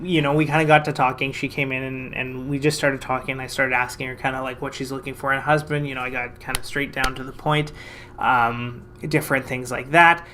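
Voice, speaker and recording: A man from Canada, a financial advisor, telling a story to camera in a casual, lively, self-mocking way. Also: medium pitch, a little fast, background noise.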